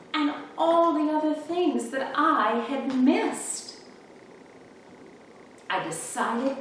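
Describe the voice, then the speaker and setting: A woman reading a children's picture book aloud in an expressive, deliberate storytelling manner. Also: a little high. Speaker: storybook reader. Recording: echoey, distant mic, faint room noise.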